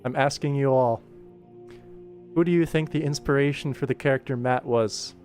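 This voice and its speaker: A young man with a mid-pitched voice talks casually as an animator streaming his work. He rambles, jokes and pokes fun at himself as he goes. Music plays behind him. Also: fairly even intonation, moderate pace, a little mellow. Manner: rambling, self-deprecating, jokey. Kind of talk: casual livestream chat while working. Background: background music.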